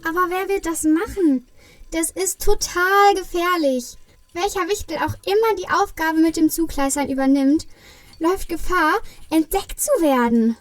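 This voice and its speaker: A woman's voice: very high voice, moderate pace, dry recording, far from the mic. A woman playing a concerned, busy little Christmas elf in a children's audio play. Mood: worried.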